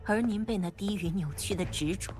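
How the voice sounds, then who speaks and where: A woman's voice: medium pitch, brisk, lightly acted. Poised, mysterious noblewoman played by a woman over music. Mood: solemn. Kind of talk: anime game voice acting.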